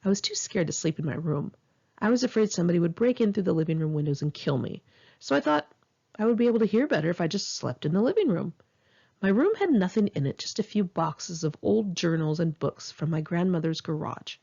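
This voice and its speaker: Expressive, husky woman reading memoir. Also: lowish voice, a little fast, highs cut off. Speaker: author reading own memoir. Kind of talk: scripted memoir narration for an audiobook, read in one take.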